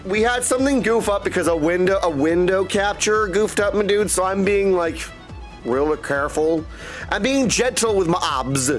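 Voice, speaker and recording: High-voiced goofy male game streamer. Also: moderate pace, soft background music. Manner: goofy, theatrical, playful with invented silly words; addresses listeners repeatedly as "my dudes" and "my friends". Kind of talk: casual livestream chat to viewers. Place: indoors at a desk in a streaming setup. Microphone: streamer microphone and headset.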